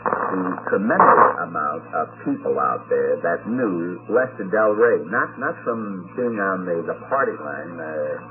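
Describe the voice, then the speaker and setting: A man hosting an unscripted radio panel talk, speaking in a halting, deliberate way. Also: deep voice, animated. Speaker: radio talk show host. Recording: tinny, noisy 1950s radio recording.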